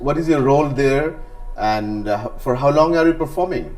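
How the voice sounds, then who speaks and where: A man's voice: mid-range voice, lively intonation, a little fast, darkish tone. A man hosting a TV interview, asking formal questions with hesitant fillers. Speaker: TV presenter.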